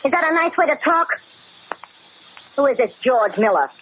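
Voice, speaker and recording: A woman's voice: high voice, animated, normal speed, fairly bright tone. A woman playing a brassy, nagging American gangster's moll in 1940s radio drama style, slangy and wheedling. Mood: pleading, miffed. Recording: very noisy, muffled old radio broadcast.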